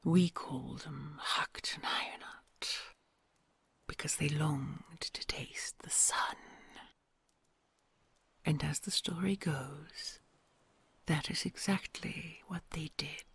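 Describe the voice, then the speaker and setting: A woman voicing a kind, doting queen dragon, huge and ancient, who tells old legends in a soft hush and gently teases a human she adores. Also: low voice, steady tone, slow, gravelly, pauses often, slightly rough, no room sound, whispered, heavily acted. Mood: storytelling. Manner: soft hushed storytelling, affectionate and gently teasing. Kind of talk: ASMR audio roleplay.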